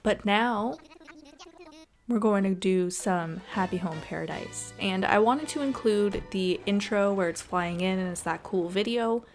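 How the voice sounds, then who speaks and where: Woman, casual gameplay commentary, mid-range voice, chatty, expressive, faint music behind. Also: medium pitch, a little slow. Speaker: gaming video creator. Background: background music.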